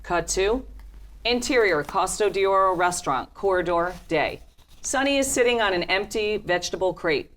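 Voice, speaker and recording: Low-voiced woman reading stage directions. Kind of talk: scripted narration.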